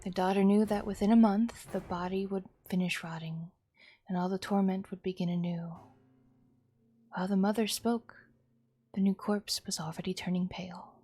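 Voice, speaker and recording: A woman's voice: medium pitch, steady tone, moderate pace, mellow, husky. Woman narrating a horror story dramatically. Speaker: streamer reading a visual novel aloud.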